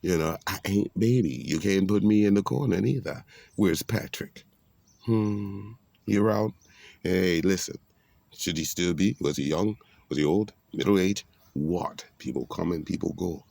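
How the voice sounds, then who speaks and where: Deep gravelly man rambling preachy talk. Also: unhurried, warm tone, no echo. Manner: rambling, preachy, streetwise talk with biblical references. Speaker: vlogger giving a monologue. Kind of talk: casual spiritual monologue.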